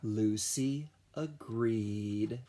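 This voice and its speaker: A man who teaches children music, reading a picture book aloud in a deliberate, animated storytelling style. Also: lowish voice. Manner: slow, deliberate storytelling with sung and imitated sounds.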